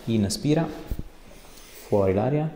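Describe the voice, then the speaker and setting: A man's voice: on the low side, expressive, takes it slowly. A man in his thirties giving reassuring, step-by-step instructions and casual chat as a chiropractor treating a patient. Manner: reassuring, short step-by-step cues. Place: small tiled treatment room, indoors. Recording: echoey small room, background noise.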